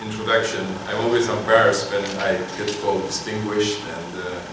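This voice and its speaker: A middle-aged man gives a guest lecture, pausing often with fillers and self-deprecating asides. His voice sits low and reaches the mic from a distance. The big hall echoes heavily, with a clear room hum behind him. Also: fairly even intonation. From Turkey. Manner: halting, filler-heavy, self-deprecating humor. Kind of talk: informal university lecture. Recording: echoey hall heard from afar.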